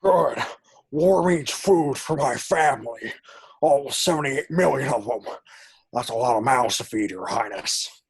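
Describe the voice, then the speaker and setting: A man acts out a monstrous insect villain from a sci-fi film script. The voice is very husky and full of gravel, and the delivery is slow, sardonic and menacing. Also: mid-range voice, exaggerated voice. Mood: menacing, mocking. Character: menacing male alien bug villain in human skin.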